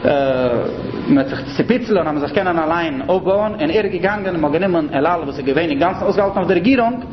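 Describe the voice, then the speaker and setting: A man's voice: fairly high pitch, expressive, quick pace, a crowd in the background. A man with a Yiddish accent giving an explanatory lecture in a didactic way, repeating and rephrasing his points. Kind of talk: explanatory religious-political lecture. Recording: muffled, noisy, echoey room recording.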